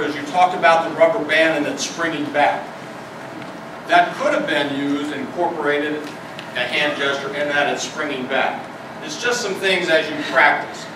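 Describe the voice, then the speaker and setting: Older man critiquing a speech in an echoey hall. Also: fairly high pitch. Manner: plain-spoken, conversational coaching. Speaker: speech contest evaluator. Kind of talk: spoken speech evaluation. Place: meeting hall with an audience. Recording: echoey hall, far from mic, noisy.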